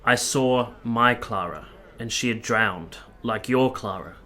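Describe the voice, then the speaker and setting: An actor playing an earnest, tender young officer in a radio play, speaking and narrating in a measured, sincere, literary way. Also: male voice, medium pitch, pushed and bright. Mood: earnest, gentle.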